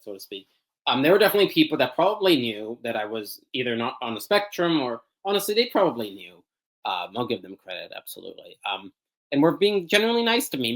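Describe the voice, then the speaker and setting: A man's voice: mid-range voice, a bit bright. A young man talking candidly as an interview guest, rambling through his answers with many fillers, restarts and frequent laughs. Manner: rambling, self-deprecating, laughs often mid-sentence, many um fillers and restarts. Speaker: podcast guest. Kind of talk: candid personal interview talk.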